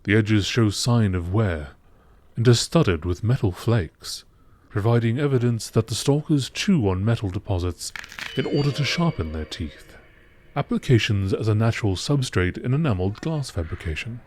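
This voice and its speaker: A man reading scripted text as a narrator in nature documentary style, with a measured, matter-of-fact, formal delivery. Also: lowish voice, lively intonation, darkish tone, slight background noise. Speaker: narrator reading out game lore.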